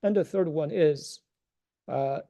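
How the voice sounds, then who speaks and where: A man's voice: on the high side, slow pace, vocal fry, dark tone, no room sound, clean studio sound. A man giving an informal religious lecture, earnest and emphatic, arguing his points and posing rhetorical questions. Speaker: religious teacher giving a talk.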